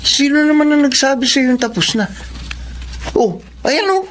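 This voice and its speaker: A man's voice: very high voice, expressive, normal speed, a bit bright, slight background noise. A man acting an arrogant, menacing young student bully in a Filipino radio drama, with a taunting manner and a Filipino accent. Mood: mocking. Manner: taunting, sneering.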